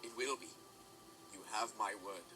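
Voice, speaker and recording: A man playing a scheming but contrite pony father in an amateur audio drama, with a formal, measured delivery. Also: fairly low pitch, bright, forceful tone. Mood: subdued, conciliatory. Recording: music behind.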